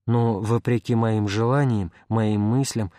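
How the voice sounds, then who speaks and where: A man reading a spiritual memoir aloud in the first person, calm and measured, like an audiobook narrator. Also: on the low side, dry recording, studio quality. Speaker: reader.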